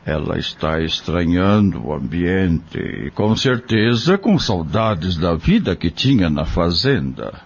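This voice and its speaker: A kindly Brazilian doctor played by a man in an audio drama, counselling patiently. His voice is low and unhurried, with lively swings in intonation as he gently explains. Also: vocal fry, breathy edge, heavily acted. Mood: caring, reassuring. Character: kindly male doctor, patient, caring spiritist. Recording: dull lo-fi audio.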